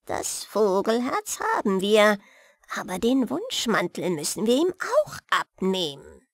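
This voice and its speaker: A woman voicing a scheming, menacing old fairy-tale witch who commands in a drawn-out, threatening way. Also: mid-range voice, very animated, no echo, clean studio sound. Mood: greedy. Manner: slow, drawn-out, threatening delivery.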